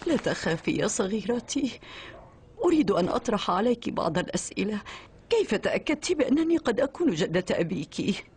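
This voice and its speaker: A woman's voice: medium pitch, sing-song, a little fast, a little mellow, slight background noise, close to an everyday voice. A woman acting a dignified elderly duchess. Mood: solemn, gentle. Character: elderly aristocratic duchess, a grandmother, dignified and tender. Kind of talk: dubbed cartoon acting in formal Arabic.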